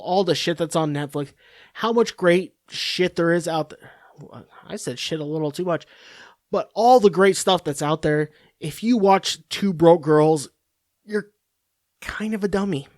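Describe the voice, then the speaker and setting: A man's voice: high voice, a little mellow, no room sound, clean studio sound. A man hosting a podcast, ranting in a profane, rambling, sarcastic monologue. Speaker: podcast host.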